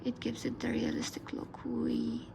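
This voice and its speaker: Soft-spoken woman, Arabic native, whispery, slow. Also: a little high, monotone, gravelly, background noise. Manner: soft, often whispered narration. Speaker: art tutor. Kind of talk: drawing tutorial voice-over.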